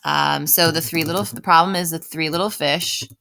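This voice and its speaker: A woman's voice: sits low, fairly even intonation, moderate pace, creaky, pushed and bright, a little hiss or hum. A woman teaching an informal writing lesson step by step, thinking aloud and often checking in with the listener. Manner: explanatory, often checks in with "right?". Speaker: elementary school writing teacher.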